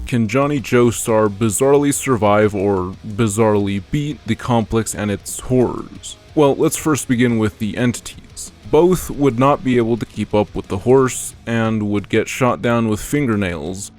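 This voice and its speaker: A man reading a scripted explainer narration as a video narrator. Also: fairly low pitch.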